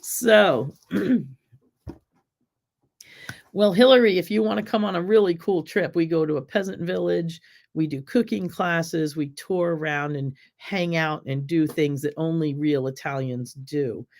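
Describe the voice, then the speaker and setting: A middle-aged woman from the United States hosting a casual cooking live stream, chatting with viewers in a rambling, friendly way. Her voice is slightly raspy while she recovers from an illness. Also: deep voice, measured pace, darkish tone. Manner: chatty, rambling, many ums, reads viewer comments aloud. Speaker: cooking show host.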